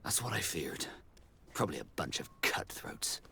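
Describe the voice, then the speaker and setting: An actor playing a proud, hot-headed sea captain in a video game. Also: male voice, low-pitched, very animated, normal speed, pushed and bright, heavily acted. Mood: grim. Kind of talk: video game cutscene acting. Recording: clear background ambience noise.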